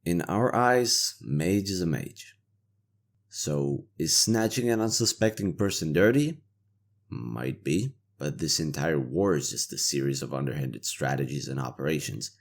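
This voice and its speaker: A cynical imperial interrogator, acted by a man in a fantasy audio roleplay. His voice sits extremely low and crackles with gravelly fry, yet it moves with lively intonation. He talks in a sardonic, weary, conversational way, as a soldier who still has a conscience. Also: measured pace, heavily acted. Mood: dry, defensive. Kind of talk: roleplay audio drama monologue.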